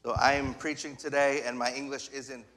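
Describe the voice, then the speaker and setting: A man preaching a sermon in short phrases, pausing for an interpreter. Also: mid-range voice, level tone, a bit bright. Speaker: guest preacher. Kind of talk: sermon in English with live interpretation. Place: church sanctuary.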